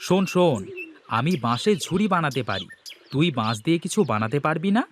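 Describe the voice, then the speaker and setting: A man's voice: fairly high pitch, very animated, fairly quick, background noise. A man voicing a scheming, boastful village husband in a cartoon, bossy and coaxing in manner. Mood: bossy, planning. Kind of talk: Bengali cartoon voice acting.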